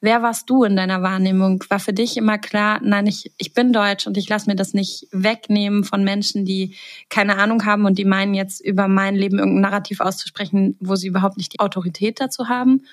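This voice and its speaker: A woman's voice: medium pitch, flat delivery, a little fast. A woman interviewing a guest, asking long, reflective questions. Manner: long reflective questions, frequent listening murmurs.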